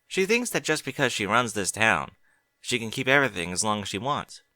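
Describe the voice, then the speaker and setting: A man's voice: on the low side, very animated, fairly quick, bright, forceful tone, dry recording, studio quality, heavily acted. A man voicing a young male dragon in an audiobook, chatty and colloquial, a little unsure of himself. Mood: casual, teasing. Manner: colloquial, hesitant, questioning. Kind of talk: audiobook character dialogue.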